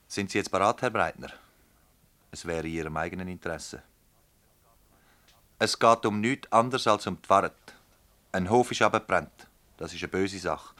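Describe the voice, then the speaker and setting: A man's voice: sits low, pushed and bright. A man playing a stern, methodical public prosecutor in a radio drama, with a Swiss German accent and a formal, probing, commanding manner. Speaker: actor. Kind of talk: radio drama acting in dialect.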